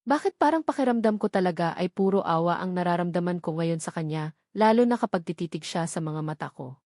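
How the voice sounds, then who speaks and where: A woman's voice: on the low side, expressive, brisk, darkish tone, no room sound. Filipino woman narrating a romance novel, dry recording. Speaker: audiobook narrator. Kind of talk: scripted first-person romance novel narration.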